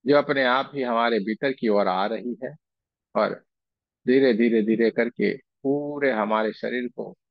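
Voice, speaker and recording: A man leading a guided meditation, speaking in slow, soothing, repeated phrases with pauses between them. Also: mid-range voice, creaky, no echo, lo-fi sound. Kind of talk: guided meditation with chanted invocation and slow repetition.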